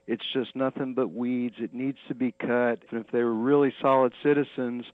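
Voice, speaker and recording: A man's voice: medium pitch, level tone, moderate pace, dry recording. An American man talking casually over the telephone, as the one receiving a call. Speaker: prank call recipient. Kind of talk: casual phone conversation. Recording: dull lo-fi telephone line.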